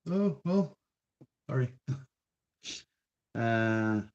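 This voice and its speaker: A man with a Swedish accent, native Swedish, chatting slowly and hesitantly on a crafting live stream, with frequent restarts. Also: mid-range voice, expressive, darkish tone. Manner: slow, hesitant, rambling with many restarts. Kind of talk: casual live-stream chat while crafting. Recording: dull lo-fi stream audio.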